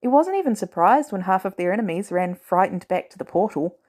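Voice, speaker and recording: A woman narrating fan fiction in an animated storytelling style. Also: mid-range voice, brisk. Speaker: podfic reader.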